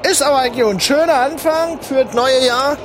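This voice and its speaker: A man, the host, improvising a radio comedy sketch with naive, deadpan questions. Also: very high voice, brisk, music in the background.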